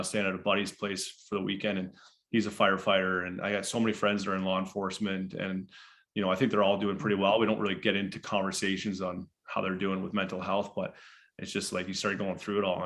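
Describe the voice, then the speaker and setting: A man co-hosting a recovery podcast, talking casually with a halting, hesitant flow. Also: deep voice, fairly bright tone. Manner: hesitant, lots of uh and restarts. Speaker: podcast co-host.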